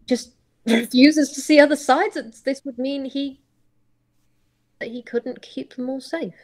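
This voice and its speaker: Slow-paced woman narrating roleplay. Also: high voice, darkish tone. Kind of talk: improvised story narration.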